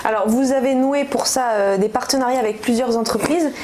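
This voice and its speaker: A woman hosting a business TV interview, asking clear, structured questions. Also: on the high side, a little fast, a little mellow, slight background noise. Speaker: interviewer, TV host.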